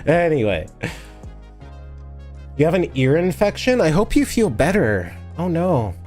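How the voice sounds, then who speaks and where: A man's voice: a little high, big pitch swings, a little slow, darkish tone. A man live streaming and still sniffly from a recent cold. He rambles from one topic to the next, thanks viewers and answers their comments, with faint music playing underneath. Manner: rambling, chatty, reads and answers chat. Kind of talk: casual live-stream chat while editing.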